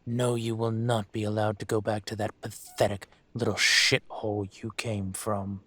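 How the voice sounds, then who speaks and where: A man playing a dragon mafia boss in an audio roleplay, speaking with measured, condescending menace and smug control. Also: on the low side, little pitch movement, fairly bright tone. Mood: stern, condescending. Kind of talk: scripted roleplay monologue to the listener.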